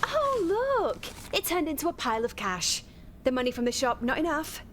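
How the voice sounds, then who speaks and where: An actress playing an exasperated, protective sister who scolds with sharp sarcasm in a radio soap. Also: female voice, high-pitched, very animated, normal speed, a little hiss or hum. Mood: accusing, uneasy. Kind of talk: naturalistic radio soap acting.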